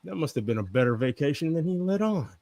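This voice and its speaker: A man playing a smooth, flirtatious male starship commander in a live script table read. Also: fairly high pitch, lively intonation, fast, darkish tone, dry recording, clean studio sound. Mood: intrigued.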